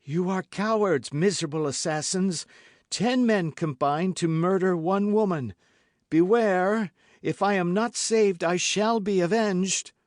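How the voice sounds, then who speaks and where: A man voicing a desperate condemned young woman who shrieks defiance and pleads for her life, high and strained. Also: measured pace, no room sound, studio quality, lightly acted. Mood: defiant. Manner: shrill, cries out in high strained pleas.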